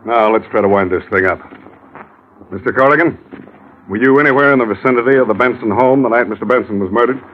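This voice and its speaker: A man playing a gruff, needling, sarcastic police lieutenant in a 1950s radio drama. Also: medium pitch, fast talker, close to an everyday voice. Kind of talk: 1950s radio detective acting. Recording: dull, noisy old radio recording.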